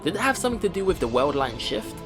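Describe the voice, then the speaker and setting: Male gaming host for visual novel read-alongs: high, expressive voice, casual delivery over music. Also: quick pace. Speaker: let's play host. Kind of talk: reading game narration aloud with casual reactions. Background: game music.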